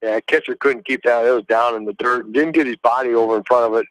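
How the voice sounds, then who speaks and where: American male color analyst for baseball radio, mid-pitched, casual and folksy, close dry sound. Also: quick pace, no echo, rough recording. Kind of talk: casual live game analysis. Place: live at a baseball game.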